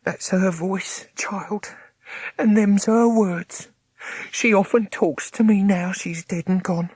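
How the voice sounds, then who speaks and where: A man's voice: measured pace, darkish tone, dry recording. A man doing a quavering old servant woman. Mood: tender, earnest. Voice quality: high quavering voice with a rustic dialect. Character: tearful old servant woman, kindly and superstitious.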